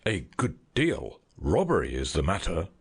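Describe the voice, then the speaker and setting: Woman doing a gruff irritable old-time doctor. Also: very deep voice, huge pitch swings, moderate pace, pitched below the performer's own voice, full character voice. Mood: blustering. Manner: gruff, blustering. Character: irritable bustling middle-aged male doctor.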